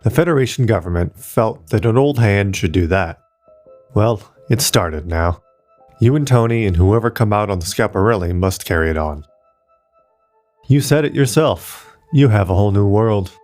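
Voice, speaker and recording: A man reading the part of an old, kindly, resigned male archaeologist in an audiobook, gentle and reflective. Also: on the low side, animated, moderate pace, faint music behind, natural voice. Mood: weary.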